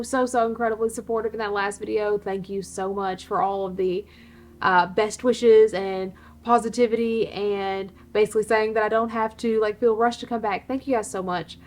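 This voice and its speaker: Slightly hoarse woman vlogging, short of breath. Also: fairly high pitch. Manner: unscripted, breathy pauses, laughs often. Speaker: reptile channel host. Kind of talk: casual vlog update to viewers.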